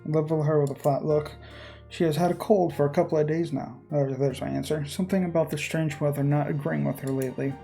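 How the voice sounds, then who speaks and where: A person reading visual novel narration aloud, breaking off for informal, halting commentary. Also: medium pitch, fairly even intonation, creaky, warm tone, soft background music.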